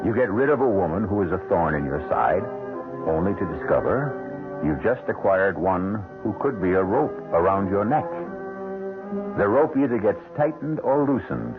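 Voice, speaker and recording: Low-voiced man hosting a radio mystery. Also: very animated. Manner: wry, deliberate, ominous. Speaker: host and narrator of a radio mystery series. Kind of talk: dramatic scripted narration, old-time radio. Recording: narrow-band old radio recording. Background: music.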